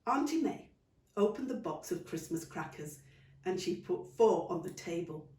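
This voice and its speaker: A woman reads her own story aloud in an animated storybook style. Her pitch moves widely, and the recording is roomy, with the microphone a little distant. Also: moderate pace. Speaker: author reading own story.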